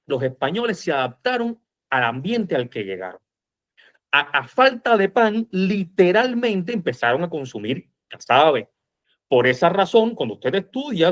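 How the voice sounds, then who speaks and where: A man with a Cuban accent talking about history in an informal, emphatic and colloquial way, on a slightly dull recording. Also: sits high. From Cuba. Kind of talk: animated informal history discussion.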